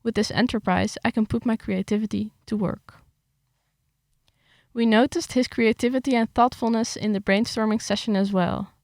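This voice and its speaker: A woman's voice: on the high side, normal speed, dry recording, clean studio sound. A young woman talks casually about her article, with some hesitation, then reads it aloud steadily. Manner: hesitant with fillers when chatting, steady when reading. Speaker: writer reading her article.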